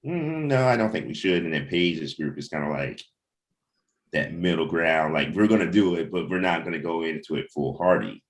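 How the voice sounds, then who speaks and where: A man's voice: low voice, animated, moderate pace. A man in a casual creative discussion as a writer and host, rambling and often saying "you know". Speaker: comic book writer hosting a table read discussion.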